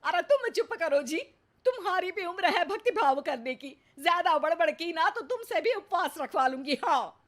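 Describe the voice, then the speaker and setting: A woman voicing a bossy, greedy, stubborn elderly mother-in-law in a storybook cartoon, loud, scolding and dramatic. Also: very high voice, lively intonation, normal speed, voice pushed up.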